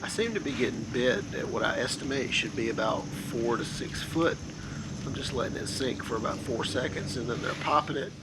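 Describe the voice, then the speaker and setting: A hoarse man in his thirties, calmly narrating his fishing from a kayak on a creek. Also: mid-range voice. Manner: slow, steady explaining. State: hoarse from a cold. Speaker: kayak angler. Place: kayak on a wooded creek outdoors. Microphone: camera mounted behind him on the kayak. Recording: noisy outdoor camera audio.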